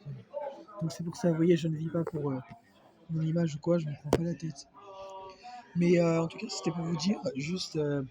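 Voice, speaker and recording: A man's voice: on the high side, flat delivery, normal speed, pauses often, rough recording. Hesitant man with a cold, crowd behind. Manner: hesitant, many fillers and restarts. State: has a cold. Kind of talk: casual reaction vlog monologue. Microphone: phone not held, off-camera.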